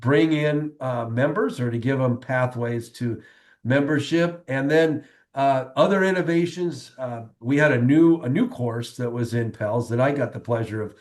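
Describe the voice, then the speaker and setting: A man hosting a casual podcast chat, upbeat and chatty with a slightly raspy voice. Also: medium pitch, moderate pace, darkish tone. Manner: upbeat, chatty, frequent fillers. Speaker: podcast host.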